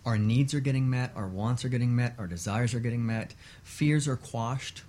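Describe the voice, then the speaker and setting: A man speaking as an expert guest in a radio interview, explaining in a measured way with short repeated lists. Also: mid-range voice, a little mellow.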